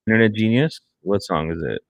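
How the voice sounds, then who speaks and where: A man with a deep voice joins a casual music podcast as a panelist. The studio sound is dry, with hardly any room in it. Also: expressive, brisk, no room sound. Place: indoor studio.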